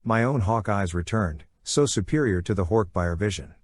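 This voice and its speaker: A man's voice: lowish voice, lively intonation, moderate pace. A man narrating a dramatic first-person story from a script as an audiobook reader.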